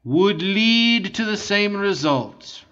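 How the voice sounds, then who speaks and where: A man reading an audiobook voices a cool, guarded male renegade commander speaking with measured courtesy. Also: sits high, expressive, bright, forceful tone, dull audio, voice pushed up, exaggerated voice.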